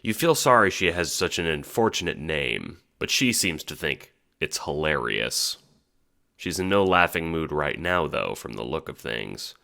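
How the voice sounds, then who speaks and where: A man's voice: extremely low pitch, big pitch swings, unhurried, creaky, bright, forceful tone. A man delivering scripted second-person comedic narration as the narrator of an audio drama.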